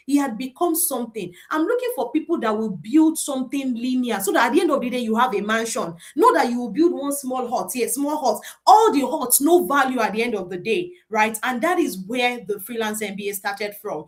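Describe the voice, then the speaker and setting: Woman, Nigerian accent, middle-aged, slightly high voice, animated, repetitive, encouraging host. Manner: animated, repeats herself, prayerful encouragement. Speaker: host and career coach. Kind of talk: live online boot camp talk.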